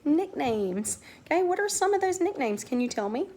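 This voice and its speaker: A woman teaching young pupils through a read-aloud lesson, posing questions and answering them in an instructional way, with a creaky voice. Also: sits high, very animated, normal speed, darkish tone. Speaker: elementary school teacher.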